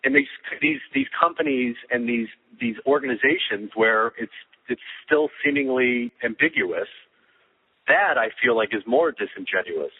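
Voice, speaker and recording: A man co-hosting a casual podcast over the phone, giving firm opinions in a measured way with frequent restarts. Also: medium pitch, big pitch swings, husky. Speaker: podcast co-host. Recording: tinny phone line.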